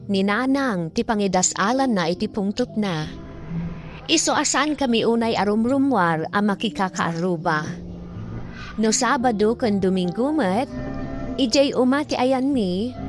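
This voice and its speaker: A woman's voice: mid-range voice, natural voice. Woman acting resigned young daughter over music. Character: weary child of strict mother, resigned to chores. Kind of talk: Ilocano radio drama inner monologue.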